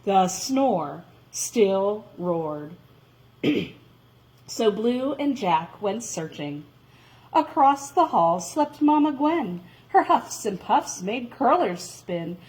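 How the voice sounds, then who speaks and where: A woman reading a children's story aloud in a dramatic, expressive way, drawing out words for emphasis. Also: mid-range voice, very slow, rough recording.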